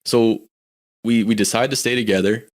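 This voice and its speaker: Man, Canadian accent, forties, lowish voice, candid, reflective, casual storytelling. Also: steady tone, normal speed. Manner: candid, reflective, frequent restarts. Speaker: podcast guest.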